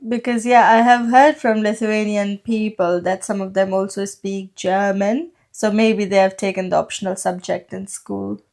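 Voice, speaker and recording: A young woman with a Pakistani accent chats through a podcast, her voice sitting at an ordinary middle pitch. Urdu is her first language, and she explains things at length, leaning on "like" and "so" as she goes. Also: little pitch movement, moderate pace, gravelly, few pauses, darkish tone. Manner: chatty, explains at length with many "like" and "so" fillers. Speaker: podcast co-host. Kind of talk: casual conversational podcast.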